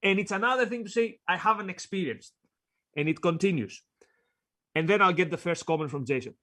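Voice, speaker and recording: A man with a Greek accent and a fairly high voice co-hosts a casual discussion. He reads quotes aloud and talks in short, paused chunks. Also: expressive, bright, forceful tone. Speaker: co-host.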